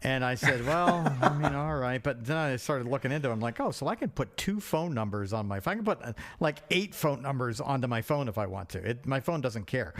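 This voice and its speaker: A man's voice: mid-range voice, lively intonation, brisk, few pauses, fairly bright tone, slight background noise. A middle-aged man talking casually and conversationally as a podcast co-host.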